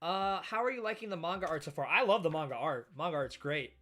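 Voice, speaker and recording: A man live-streaming a casual, excitable reaction read-through, swearing freely as he chats with viewers. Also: on the high side, fast, soft background music. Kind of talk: casual livestream reaction chat while reading manga.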